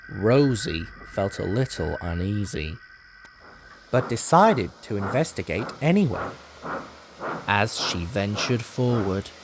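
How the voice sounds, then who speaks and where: A man reading scripted storybook narration in a dramatic, deliberate manner. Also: mid-range voice, noisy background.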